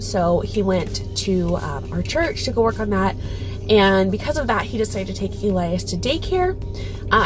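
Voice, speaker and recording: A woman's voice: medium pitch, rough recording. A woman in her thirties, stuffy and congested, chatting casually to her phone camera from her car and kitchen with lots of ums and little laughs. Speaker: vlogger. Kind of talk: casual vlog chat. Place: parked car, then home kitchen. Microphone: phone camera at arm's length.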